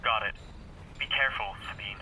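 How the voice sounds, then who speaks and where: A man's voice: on the low side, fairly quick, very noisy, lightly acted. An actor in an audio drama playing a dutiful warrior scout who reports to his sister. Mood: steady, concerned. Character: dutiful younger brother, armored warrior scout on comms.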